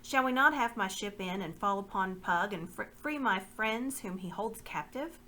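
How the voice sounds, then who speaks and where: A woman's voice: medium pitch, expressive, normal speed, sharp tone. A woman voicing a bold young boy king. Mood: regal. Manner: proud, formal speech.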